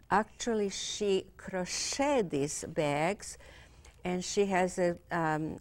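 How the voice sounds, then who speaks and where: A middle-aged woman hosting a cable fashion interview show, speaking in with many fillers and hesitations. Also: on the low side. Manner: hesitant, many fillers, non-native grammar.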